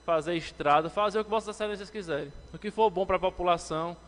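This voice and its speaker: A man in his thirties from Brazil, a city councillor giving a formal speech at a lectern to the council, addressing the chair and rising in pitch when he presses a point. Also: quick pace, a bit bright. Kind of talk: legislative floor speech. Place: council chamber, indoors, medium-sized. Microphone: lectern microphone, standing. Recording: long reverb from a council chamber.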